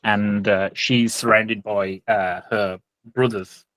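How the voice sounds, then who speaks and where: A man's voice: fairly low pitch, fairly even intonation, takes it slowly, fairly bright tone, dry recording. A man narrating a tabletop game as game master, improvising his descriptions with some hesitation.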